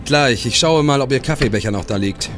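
A man's voice: mid-range voice, a little fast, a bit bright, faint music behind, close to an everyday voice. A man in a crime radio play, playing a driven, probing police detective who also narrates his case. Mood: focused, suspicious. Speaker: radio drama actor. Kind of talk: German crime radio play acting, with first-person narration.

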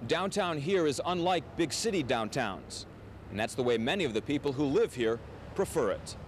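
A man's voice: fairly high pitch, very animated, moderate pace, slight background noise. A man narrating a scripted feature story as a TV news reporter on 1980s field tape.

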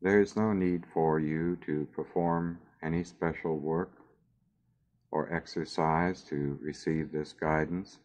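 Very deep, slow-speaking man guiding meditation. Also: steady tone, a little mellow. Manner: measured, soothing delivery with long pauses. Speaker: guided meditation narrator. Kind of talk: scripted guided meditation.

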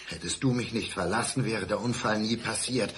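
An actor in a German radio crime drama playing a bitter abandoned ex-husband, mock-polite, deliberate and accusing, set on revenge. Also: male voice, on the low side, a bit bright, lightly acted. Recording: roomy studio sound, faint noise.

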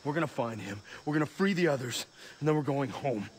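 A young man acting a protective, level-headed older brother in a video game, speaking in a terse, hushed, commanding undertone. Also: medium pitch, expressive, brisk, very noisy, natural voice. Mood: determined. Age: young adult. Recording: loud music under the dialogue.

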